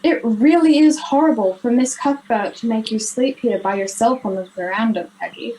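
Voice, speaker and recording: A young girl plays a worried, protective school friend in a children's radio play. Her child's voice is high but mellow in tone. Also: moderate pace, faint music behind, lightly acted, poor audio. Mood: concerned.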